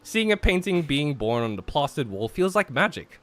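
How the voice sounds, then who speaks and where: Man, game streamer, lively swooping pitch, forceful tone, casual banter, read-aloud narration, argumentative. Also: normal speed. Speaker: streamer hosting a visual novel playthrough.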